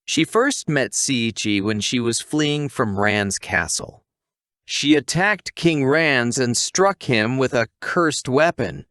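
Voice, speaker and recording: Male narrator for scripted anime recaps; lively, varied melody, dry studio-tight sound. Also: mid-range voice, takes it slowly, no room sound.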